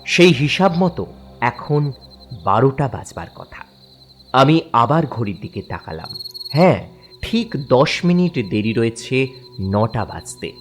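A man narrating a horror story in the first person, dramatic and suspenseful, halting in tense moments. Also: mid-range voice, big pitch swings, soft background music.

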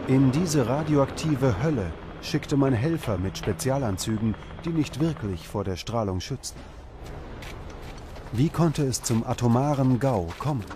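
A man reading scripted documentary narration in a measured, dramatic manner. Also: mid-range voice, background noise. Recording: music behind the voice. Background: background music.

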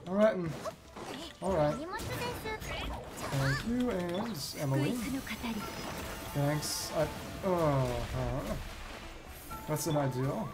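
A woman streamer giving casual live gameplay commentary, half muttering to herself with mild exclamations. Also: sits low. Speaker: game streamer. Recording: loud game music behind voice. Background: loud game music.

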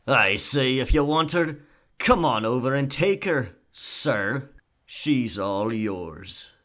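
A man's voice: medium pitch, animated, slow pace, a bit bright. A man playing a cocky, sly pirate rogue in an audio roleplay, with theatrical, taunting and charming delivery. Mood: bold, bargaining. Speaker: ASMR roleplay performer. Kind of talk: pirate ASMR roleplay acting.

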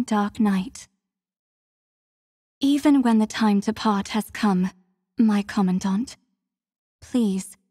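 An actress playing a devoted, composed young female warrior in a video game, speaking with formal, measured, soft courtesy. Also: mid-range voice, no room sound, studio quality, big-room sound. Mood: earnest, composed. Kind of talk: scripted mobile game character lines.

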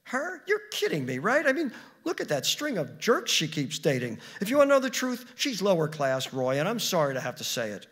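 A man reading a story, voicing a demanding, sharp-tongued wife with an emphatic, incredulous manner. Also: on the high side, sing-song, a little fast, few pauses, slightly rough, full character voice. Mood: catty. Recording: reverberant hall with long echo.

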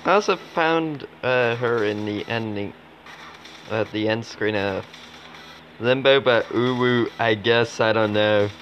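A man giving casual, low-key live commentary as a gaming streamer, talking in fragments and mumbled asides. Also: takes it slowly. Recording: dull lo-fi audio, noisy background.

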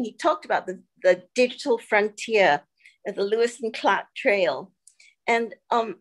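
An older woman from Singapore, giving a reflective presentation over a video call, pausing often with fillers. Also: mid-range voice. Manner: reflective, halting with many fillers. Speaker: guest speaker.

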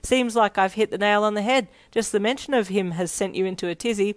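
A woman voicing a teasing, pushy, excitable schoolgirl best friend in a story, with an animated, emphatic manner. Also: medium pitch, fairly quick, close to an everyday voice.

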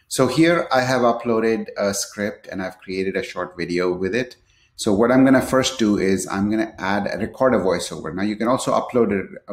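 A man presenting a screen-share software tutorial, explaining it step by step in a somewhat halting way. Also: lowish voice. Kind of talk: screen-share product tutorial, partly unscripted. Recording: echoey room.

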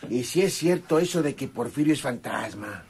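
An actor playing a simple, nervous rural outlaw sidekick in a radio drama, hesitant and folksy, with a northern Mexican accent. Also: male voice, mid-range voice, expressive, normal speed, a little mellow, soft background music, natural voice. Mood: uneasy. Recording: noisy old radio drama recording.

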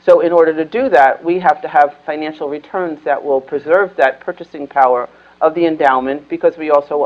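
An older woman speaking at a conference panel as a foundation executive, measured and earnest with some restarts. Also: low voice, highs cut off. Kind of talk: panel discussion remarks. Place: conference room panel, indoors.

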